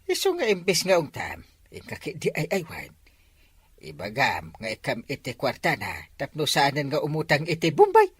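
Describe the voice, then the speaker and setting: A woman voicing a talkative, joking village man with a husky voice in a comedy drama, teasing him and jumping into squeaky outbursts. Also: huge pitch swings, normal speed, no room sound, exaggerated voice.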